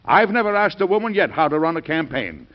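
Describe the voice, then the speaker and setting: An actor playing a stubborn older male president who speaks bluntly and forcefully, in an old-time radio drama with a dull recording. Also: fairly high pitch, big pitch swings, a little fast. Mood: defiant. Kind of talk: 1940s radio acting.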